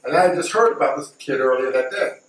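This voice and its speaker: A man's voice: medium pitch, expressive, fast talker. A middle-aged man telling a story casually, repeating and recapping for emphasis. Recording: echoey, distant mic, faint noise.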